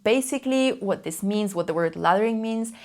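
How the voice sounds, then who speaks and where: A woman's voice: mid-range voice, animated, a little fast, a little mellow. A young woman whose first language is Polish, talking to camera in a chatty, enthusiastic way and often repeating herself for emphasis. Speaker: language learning YouTuber. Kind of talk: casual talk to camera.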